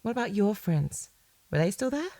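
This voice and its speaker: A dry-recorded female voice at medium pitch. a suspicious wife in an audio drama, pressing with controlled, sceptical questions and refusing to let go. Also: big pitch swings, moderate pace, dark tone, dry recording. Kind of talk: naturalistic audio drama dialogue.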